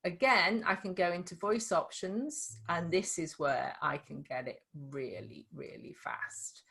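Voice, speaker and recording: Slight Scouse woman, middle-aged, chatty tutor demoing software, loose and restarting. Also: fairly low pitch, measured pace, creaky, slightly rough. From Liverpool. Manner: chatty, demonstrative, many restarts. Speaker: dyslexia tutor. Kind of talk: casual screen-share tutoring session.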